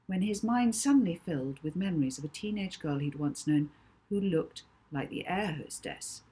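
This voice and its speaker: A woman's voice: fairly low pitch, a little mellow, slightly rough. A woman reading her own children's novel aloud as a storyteller, with chatty asides. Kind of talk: storybook narration with chatty introduction.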